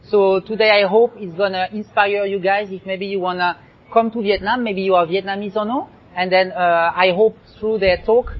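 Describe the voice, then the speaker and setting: A man hosting a casual interview who asks loose, rambling questions. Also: high voice. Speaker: host. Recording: slightly dull lo-fi sound.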